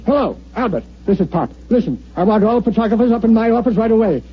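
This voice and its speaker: An actor playing a brash, commanding newspaper editor who talks in punchy orders, in 1950s radio drama style. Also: male voice, high voice, lively intonation, fast, slight background noise. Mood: bossy, excited. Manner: bossy, punchy, wisecracking. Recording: muffled old-time radio recording.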